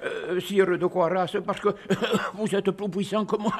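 A man's voice: high voice, steady tone, measured pace. A man playing a timid medieval clergyman who stammers and giggles as he talks. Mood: flustered.

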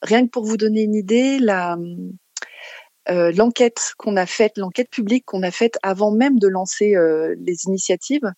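A woman with a French accent from France answers as an interview guest. She talks spontaneously at a middling pitch, often pausing to find a word and repeating the start of a phrase. The sound is dry, with no room echo. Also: mellow. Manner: halting, searching for words, repeating phrase starts. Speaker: interview guest, community association organiser.

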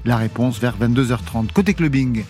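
A man hosting a radio music show in a studio, announcing guests in a smooth scripted way. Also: mid-range voice, normal speed, a little mellow. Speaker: radio host. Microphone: studio microphone.

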